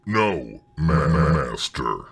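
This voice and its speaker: A man's voice: a bass voice, sing-song, slow, vocal fry, fairly bright tone, husky, heavily acted. A man voicing an anxious, servile droid henchman who stammers and grumbles in a comic sci-fi radio drama. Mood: pleading, servile. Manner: stammering, obsequious.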